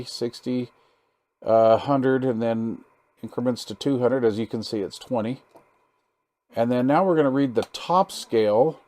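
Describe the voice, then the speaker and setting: A man giving a casual hands-on demonstration as a hobbyist, talking in a halting, easygoing, folksy way and sometimes muttering to himself. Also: medium pitch, darkish tone, dry recording. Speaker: hobbyist presenter demonstrating radio gear.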